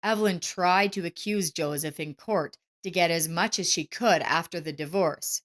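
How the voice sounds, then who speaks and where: A woman's voice: on the low side, normal speed, fairly bright tone. A woman reading a scripted, dramatic story as its narrator.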